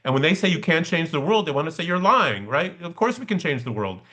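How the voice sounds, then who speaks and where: A man's voice: on the high side, big pitch swings, fast, pushed and bright. A man leading a study session in a conversational, explanatory way, often checking in with a short questioning tag. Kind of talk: conversational seminar teaching. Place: online class over video call. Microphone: video call.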